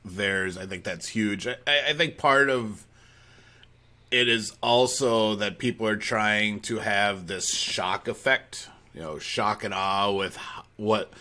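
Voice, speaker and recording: Bright-voiced Midwestern man, lively. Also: lowish voice, a little slow. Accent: Midwestern American. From South Dakota, USA. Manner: animated storyteller, laughs easily. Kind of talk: casual conversation.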